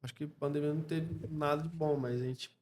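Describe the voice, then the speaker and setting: A Brazilian man speaking as a podcast guest in a casual interview, reflective and halting, often checking in with short tag questions. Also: fairly high pitch, steady tone, a little mellow, slight background noise. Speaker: podcast guest, clothing store co-founder.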